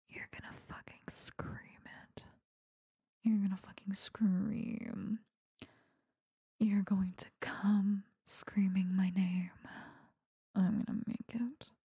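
A woman in a bossy, domineering teacher role whispers with a deeply breathy, husky texture. Her delivery is slow and drawn out, with a dark, mellow tone. The recording is close and completely dry. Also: dry recording. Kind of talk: whispered audio roleplay.

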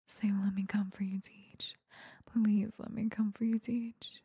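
Breathy whispering woman, slow and commanding. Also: mid-range voice, flat delivery, mellow, no room sound, clean studio sound. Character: domineering female teacher. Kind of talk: whispered audio roleplay.

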